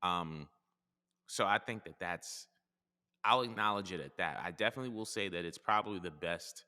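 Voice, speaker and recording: A man with a low voice and a bright, forceful tone speaks in a measured way, weighing each point as he goes. A long reverb hangs around his words. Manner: measured, thinks aloud, hedges. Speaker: podcast host. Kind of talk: casual chat.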